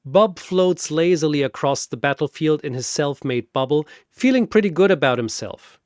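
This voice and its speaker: Guy narrating a dramatic fantasy fight story, takes his time, a bit high pitched, very dry sound. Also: animated, moderate pace, darkish tone, dry recording.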